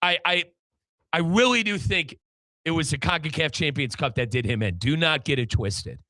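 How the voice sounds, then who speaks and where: A man's voice: a little high, animated, normal speed, bright, forceful tone, no echo. A man hosting a soccer talk show, giving emphatic, opinionated analysis. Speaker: sports talk show host.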